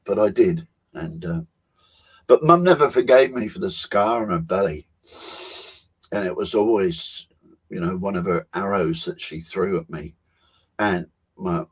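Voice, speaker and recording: A man, co-hosting a talk show on a video call and musing over his life in an unhurried way, with soft laughs and pauses. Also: sits low, slightly rough, rough recording. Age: 70s. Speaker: co-host. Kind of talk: reflective personal storytelling.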